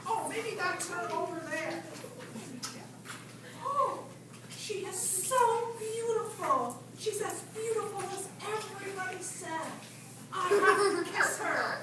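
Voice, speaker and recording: An earnest young prince voiced by a woman declaims boldly in a very high voice. It is puppet-show acting, distant from the mic with loud noise around it. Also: heavily acted. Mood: wondering. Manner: bold, declamatory. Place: library event room, live show. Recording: distant, echoey, very noisy room.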